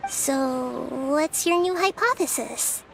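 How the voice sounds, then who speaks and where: Childlike deity for a game, high and gentle, serene, patient, quietly wise. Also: female voice, vocal fry, background noise, full character voice. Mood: calm, curious. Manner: measured, thoughtful, speaks in analogies. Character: gentle, wise, childlike little goddess, female, calm and patient. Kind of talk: video game voice acting.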